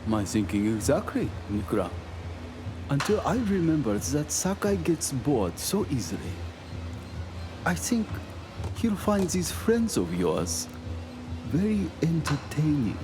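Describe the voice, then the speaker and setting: An actor playing a suspicious Japanese naval commander, coldly polite and formal, in an audio drama. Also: male voice, a little high, sing-song. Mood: smug, menacing. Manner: deliberate, formal, coolly threatening. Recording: music under the dialogue.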